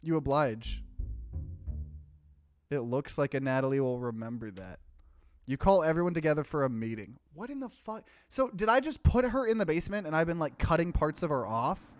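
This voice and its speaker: A man's voice: on the high side, big pitch swings, moderate pace, no room sound, clean studio sound, faint music behind. A man hosting a let's play, reading game narration aloud and adding his own commentary.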